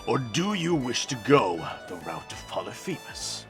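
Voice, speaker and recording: An actor playing a proud, menacing warrior prince of the sea in an audio drama, grandly formal and threatening. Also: male voice, medium pitch, big pitch swings, measured pace, over loud music. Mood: imperious anger. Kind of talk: cinematic audio drama acting.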